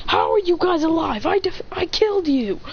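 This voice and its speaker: Brash young anime hero done by a woman, high voice, drawn-out battle shouts, wide pitch swings, dull noisy recording. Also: very animated. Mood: startled, fierce. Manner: drawn-out shouted attack calls. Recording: dull lo-fi mic with clear background noise.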